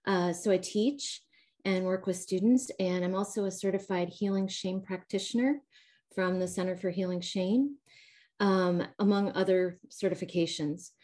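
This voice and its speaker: A woman from the American Midwest with a Midwestern accent mixed with Southern, calmly explaining her coaching work as an interview guest over a video call. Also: mid-range voice, normal speed. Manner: calm, explanatory, frequent um and you know. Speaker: trauma recovery coach, guest. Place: home, calling in remotely.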